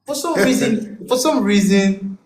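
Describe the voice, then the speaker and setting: A singer-songwriter man chatting as an interview guest, animated and breaking into song as he talks. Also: high voice, measured pace, slight background noise. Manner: animated, bursts into song mid-sentence. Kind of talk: casual chat. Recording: roomy echo.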